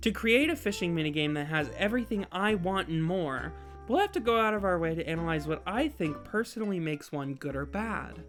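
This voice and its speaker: A woman hosts a scripted video essay. Her delivery is highly animated, with playful, theatrical emphasis on key phrases. Faint music plays behind her voice. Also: brisk. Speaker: host of a video essay channel.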